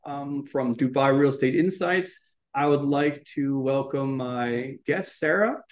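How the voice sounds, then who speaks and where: A man's voice: medium pitch, unhurried. A man hosting a podcast interview, asking loose questions that restart and ramble. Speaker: podcast host. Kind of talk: casual interview.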